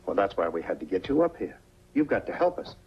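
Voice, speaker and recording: A man's voice: low-pitched, sing-song, fast, husky, heavily acted. An actor playing a protective, plain-spoken small-town policeman, tough but tender, in the earnest style of 1940s film noir. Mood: firm, scheming. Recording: muffled 1940s film soundtrack with music behind.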